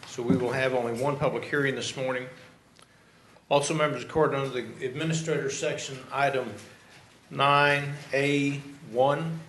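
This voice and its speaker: A man gives agenda announcements to the court in a formal, orderly way. His voice echoes around a large room over a clear, steady background hiss. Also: mid-range voice, normal speed. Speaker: county administrator. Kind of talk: reading meeting announcements. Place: large county courtroom, indoors.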